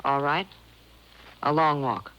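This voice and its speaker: An actress playing a frightened, evasive murder suspect in a 1950s radio drama, stammering and defensive. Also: female voice, low voice, takes it slowly, fairly bright tone. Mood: flustered, evasive. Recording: muffled cassette of old radio broadcast.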